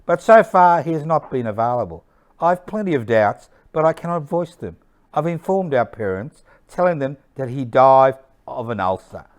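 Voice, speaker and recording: Guarded grieving internee, played by a man, measured formal letter, smooth clear delivery, stage drama. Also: medium pitch, sing-song, lightly acted. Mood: guarded sorrow.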